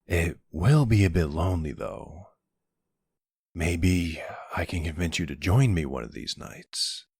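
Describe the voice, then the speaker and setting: A flirty, sardonic vampire played by a man in an ASMR audio drama. His voice is husky and full of gravelly fry, swinging expressively as he taunts his rival. The delivery is smug and teasing, laced with dry sarcasm. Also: sits low, expressive, unhurried, a little mellow, dry recording, exaggerated voice.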